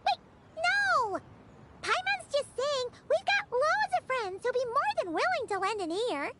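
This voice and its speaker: A woman voicing a tiny, excitable, cheerful childlike fairy companion in a video game, exclaiming and talking about herself in the third person. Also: very high voice, measured pace, full character voice. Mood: eager. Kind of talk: game cutscene voice acting.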